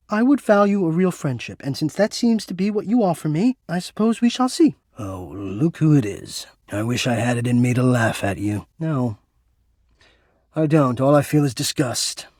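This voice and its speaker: Here is a proud, easily wounded male spirit of a home's heating and cooling, made for a video game. The voice is husky and swings widely in pitch. The diction is florid and formal, with old-fashioned theatrical flair. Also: darkish tone, no room sound, clean studio sound. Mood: tentatively hopeful, then bitter, disgusted. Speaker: voice actor. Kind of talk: video game character acting.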